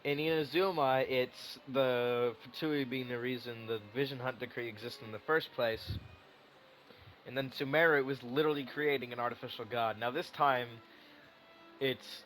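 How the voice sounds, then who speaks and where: A man streaming a game in a medium-pitched voice. He comments casually, wandering through his thoughts with teasing and swearing. Faint music from the game plays behind him. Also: normal speed, fairly bright tone, low-quality recording.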